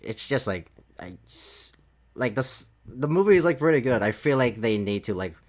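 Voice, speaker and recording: A man chatting casually in a halting, repetitive way, leaning heavily on filler words. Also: mid-range voice, very animated, darkish tone. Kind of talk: casual podcast chat.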